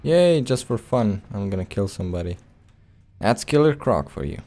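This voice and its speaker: A man's voice: lowish voice, animated, normal speed, darkish tone. A man doing casual gameplay commentary with a hesitant, rambling, playful delivery. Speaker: let's play host.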